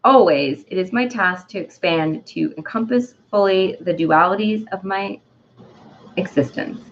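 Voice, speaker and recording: Casting: a woman as a theatrical French-accented dandelion spirit, storybook style, exuberant and self-loving, unhurried, on a slightly dull recording. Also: medium pitch, slight background noise, lightly acted. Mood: grand, musing. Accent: put-on French. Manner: theatrical, halting, often tagging phrases with "eh". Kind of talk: dramatic storybook-style reading.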